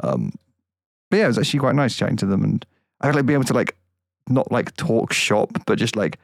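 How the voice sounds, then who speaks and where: A man's voice: medium pitch, sing-song, brisk, vocal fry, no echo, studio quality. A man co-hosting a podcast, talking in a rambling casual way with frequent laughs. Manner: rambling, laughs often, many fillers. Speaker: podcast co-host.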